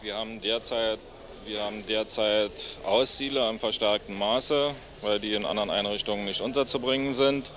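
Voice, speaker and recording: A middle-aged man answers as an interview guest in a measured, factual way. His voice is low, with a bright, firm edge. He uses official, formal wording and speaks into a handheld microphone. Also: steady tone. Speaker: interview guest, head of a homeless hostel. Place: seated among plants in a senior citizens' centre.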